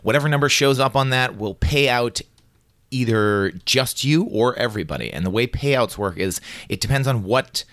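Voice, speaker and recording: A man's voice: lowish voice, a bit bright, no room sound, clean studio sound. A man with a somewhat nasal voice, co-hosting a podcast and informally explaining board game rules, with a teasing streak. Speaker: podcast co-host.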